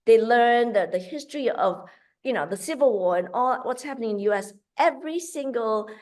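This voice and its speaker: A woman from Malaysia speaking in an interview as a guest. Her talk is animated and full of fillers and restarts. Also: on the high side, a little fast. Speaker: guest, founder of a kids' cooking program.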